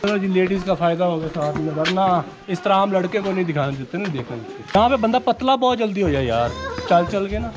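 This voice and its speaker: A man's voice: high voice, expressive, fairly quick, over loud music. A man with a Pakistani accent casually narrating a travel vlog and chatting with locals. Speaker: travel vlogger. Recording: clear background noise, handheld outdoor vlog.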